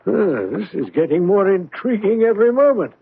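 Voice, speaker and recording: Good-humoured gentleman sidekick, high voice, formal fluttery manner, radio mystery, narrowband muffled sound. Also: sing-song, moderate pace, gravelly, breathy edge, exaggerated voice. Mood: fussy, eager. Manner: fluttery exclamations, chuckling, formal old-fashioned phrasing. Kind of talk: radio mystery drama acting.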